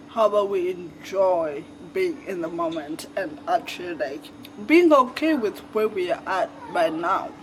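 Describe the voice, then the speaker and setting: Slow-talking high-voiced young man. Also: noisy background. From Zimbabwe. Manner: rambling, candid, with frequent fillers and swearing. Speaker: vlogger. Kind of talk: casual reflective chat to camera. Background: faint crickets and insects.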